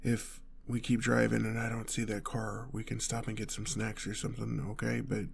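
A man's voice: fairly low pitch, monotone, moderate pace, vocal fry, slight background noise. A man playing a protective, anxious boyfriend on the run, stammering and tender, in an intimate audio roleplay. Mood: calming, weary. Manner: stammering, urgent, tender reassurance. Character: panicked, protective boyfriend fleeing a gunman with his partner.

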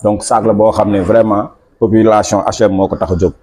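Low, mellow Senegalese man presenting merchandise. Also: flat delivery, fairly quick, slight background noise. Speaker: club marketing officer. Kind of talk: promotional product presentation. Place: small office indoors.

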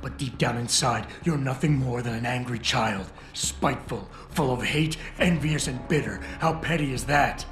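An actor playing a stern, indignant action hero in a video game, speaking in a terse, intense, accusing manner. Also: male voice, mid-range voice, normal speed, few pauses, a bit bright, lightly acted. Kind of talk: video game cutscene acting. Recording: roomy game audio with clear music.

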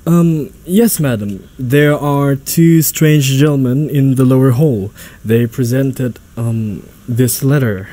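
A man's voice: mid-range voice, takes it slowly, mellow. A man playing a deferential household butler in a radio drama, formal, polite and a little hesitant.

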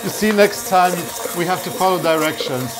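A man's voice: high-pitched, normal speed, rough recording. A man, teasing his partner and reading recipe steps aloud in a home kitchen. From Norway. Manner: teasing, reads instructions aloud, laughs. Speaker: co-host. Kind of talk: casual baking chat. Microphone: unseen mic, medium-distance camera.

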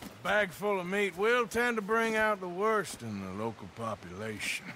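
An actor playing a world-weary, sardonic American outlaw in a game, with a dismissive drawl. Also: male voice, high-pitched, sing-song, moderate pace, pushed and bright, a little hiss or hum, heavily acted. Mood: dry, easygoing. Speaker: player character, outlaw. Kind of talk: dramatic game cutscene acting.

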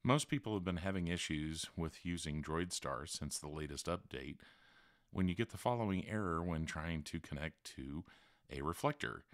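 A man's voice: deep voice, moderate pace, no room sound, clean studio sound. A man hosting a tutorial, narrating step-by-step instructions in a voice-over. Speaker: tutorial host. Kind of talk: how-to screen-recording narration.

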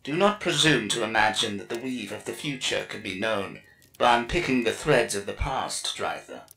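Man acting out solemn alien seer women, creaky and effected. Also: lowish voice, lively intonation, moderate pace, sharp tone, full character voice, poor audio. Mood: grave, cryptic. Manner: solemn, portentous. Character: stern alien warrior women and seers, grave and cryptic. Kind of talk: dramatic audiobook character acting.

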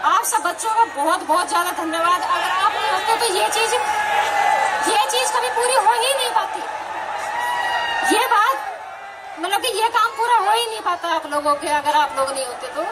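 A woman with a very high voice thanks a crowd from an outdoor stage, speaking gratefully into a handheld mic. She is heard from some distance, and a loud crowd and heavy noise fill the background. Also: normal speed. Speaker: mother of the honoree, thanking the crowd. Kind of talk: short stage thank-you speech. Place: outdoor stage event before a large crowd.

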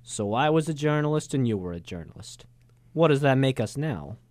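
A man's voice: mid-range voice, animated, brisk, a little mellow, dry recording, lightly acted. A man playing a cynical, world-weary ex-journalist who narrates a hardboiled noir radio drama in slangy, wry style. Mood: wry, casual.

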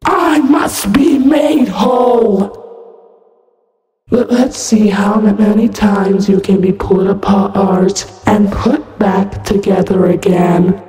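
A woman voicing a menacing, broken animatronic fox for fan-made game lines, stuttering and glitchy, halting and sinisterly sweet. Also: medium pitch, fairly even intonation, warm tone, lightly acted. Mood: playfully menacing. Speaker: amateur voice actor. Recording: echoey long reverb over music.

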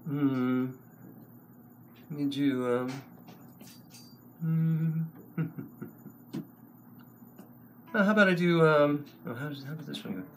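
An older man hosting a casual livestream from a small room, talking slowly into a close webcam, rambling with many ums and restarts. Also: fairly high pitch, slight background noise. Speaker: online service host and songwriter. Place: small room before a wooden wall, indoors. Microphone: webcam at close range.

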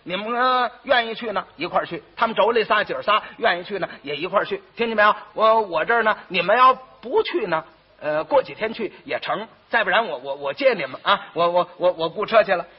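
A man's voice: sits high, animated, a little fast, breathy edge. A man voicing a pompous, fretful eldest brother in a comic tale, stammering and emphatic, with a Beijing accent. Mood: insistent. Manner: stammering, emphatic, repeats himself. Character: pompous, fretful eldest brother guarding his good name. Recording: muffled, dull old recording.

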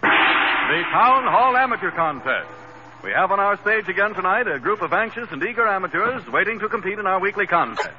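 A man working as a 1930s radio announcer, reading commercials with a smooth, earnest delivery. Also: high voice, big pitch swings, fairly quick. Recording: dull old radio broadcast with faint hiss.

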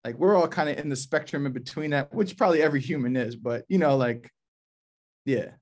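A man in his thirties from New Orleans giving a casual, slangy, halting introduction on a video call from a small office. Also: on the high side. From New Orleans, USA. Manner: laid-back, halting, slangy. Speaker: poetry reading curator. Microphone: video call, computer at arm's length.

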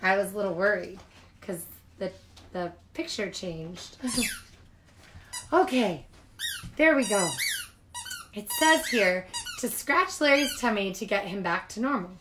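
A woman reading picture books aloud to young children like a childcare teacher, expressive and informal. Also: mid-range voice, a little slow, long pauses, background noise.

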